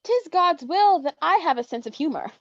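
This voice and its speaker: A woman's voice: very high-pitched, animated, normal speed, dry recording. A woman playing a brash, bawdy teenage girl in a mock-Shakespearean staged reading, with playful, theatrical archaic speech. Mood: cheeky. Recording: dull, dry lo-fi sound.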